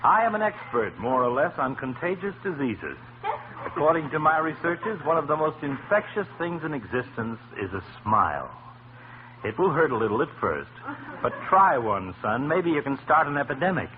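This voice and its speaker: Sardonic, animated family doctor. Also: male voice, on the high side, moderate pace. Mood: mock-pompous. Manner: sardonic, mock-pompous. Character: wry, needling male family doctor. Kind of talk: 1940s radio comedy acting. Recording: noisy tinny old radio recording.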